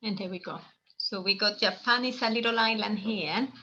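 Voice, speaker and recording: A woman's voice: mid-range voice, moderate pace, pushed and bright, highs cut off. A woman from El Salvador hosting a live online origami lesson, explaining in a friendly, meandering way with restarts. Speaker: workshop host.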